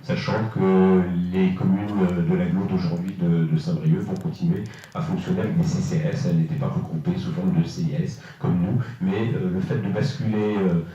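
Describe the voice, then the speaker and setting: A man presenting formally at a local council meeting, explaining in a halting, hesitant way with frequent filled pauses. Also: sits low, steady tone, warm tone. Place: reverberant indoor meeting room. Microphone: distant microphone. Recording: echoey, muffled room, distant mic.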